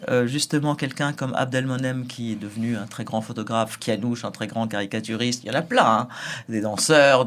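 A man speaking as a guest in an interview, earnest and reflective, often repeating himself as he searches for words. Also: mid-range voice.

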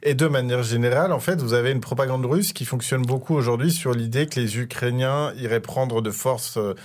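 A man's voice: medium pitch, brisk. A man, an author and interview guest, explaining at length with frequent hesitations. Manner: fluent explanatory, many "euh" fillers. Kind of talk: radio interview.

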